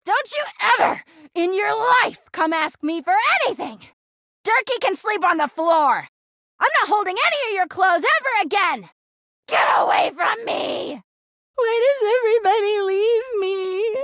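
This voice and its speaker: High swoopy clingy game girl, lovesick and volatile, super dramatic, dry close sound. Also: lively intonation, a little slow, a bit bright, dry recording, exaggerated voice. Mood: furious, hurt. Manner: melodramatic, needy, possessive, passive-aggressive. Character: clingy, volatile, lovesick female living laundry hamper. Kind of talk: video game character acting.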